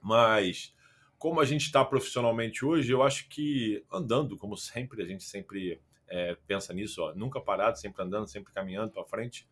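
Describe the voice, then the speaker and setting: Laid-back Carioca man with low voice. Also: moderate pace, fairly bright tone, breathy edge. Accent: Carioca Portuguese. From Rio de Janeiro, Brazil. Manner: rambling, many restarts and fillers like "é" and "cara". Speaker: podcast guest, football content creator. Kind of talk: casual podcast conversation.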